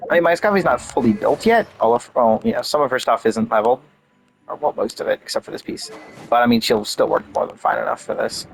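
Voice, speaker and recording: A man's voice: medium pitch, fast, vocal fry, slight background noise, rough recording. A man giving casual gameplay commentary, thinking aloud in an offhand way. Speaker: game streamer.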